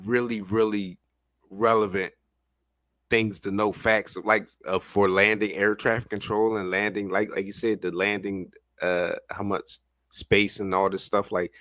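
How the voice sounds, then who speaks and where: A man's voice: fairly low pitch, little pitch movement, measured pace, fairly bright tone, dry recording. A man giving casual, rambling, slangy reaction commentary with an amused manner. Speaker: reaction streamer.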